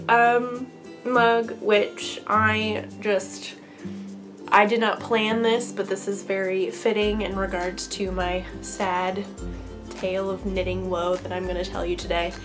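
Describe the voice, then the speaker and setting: A young woman host with a stuffy, allergy-congested voice, chatting casually and upbeat about her crafting week. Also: on the high side. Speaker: podcast host. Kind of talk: casual crafting chat to camera.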